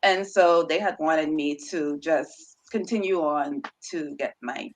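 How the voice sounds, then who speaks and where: A woman's voice: low voice, slow, slight background noise, poor audio. A woman researcher, a guest on an interview show, telling her story casually with a stutter, repeated words and frequent laughs. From Bahamas.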